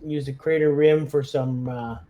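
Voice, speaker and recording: A man's voice: medium pitch, fairly even intonation, measured pace, muffled recording, noisy background. A man chatting casually as a player in a tabletop roleplaying game, organising the squad.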